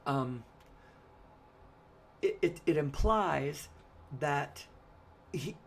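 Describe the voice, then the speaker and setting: A woman with a hoarse, raspy voice giving a solo spoken monologue, pausing often to cough and clear her throat. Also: sits low, animated, slight background noise.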